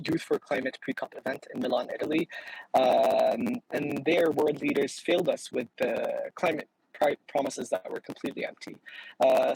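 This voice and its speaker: A young man from Syria speaks as a youth activist in an informal presentation, polite and a little hesitant, with a gravelly, husky voice. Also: medium pitch, a little mellow, no echo, poor audio. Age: young adult. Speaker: youth climate activist and founder.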